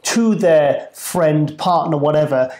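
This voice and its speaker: A man's voice: fairly high pitch, slow pace, a little mellow. A man co-hosting a casual podcast, chatty and agreeable. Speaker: co-host. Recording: echoey room.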